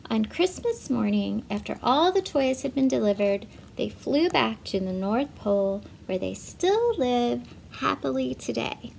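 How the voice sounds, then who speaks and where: Slow, high-pitched woman reading a storybook. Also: vocal fry, slight background noise. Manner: expressive, measured storytelling. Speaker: storybook reader for schoolchildren. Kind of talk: children's read-aloud narration with asides to listeners.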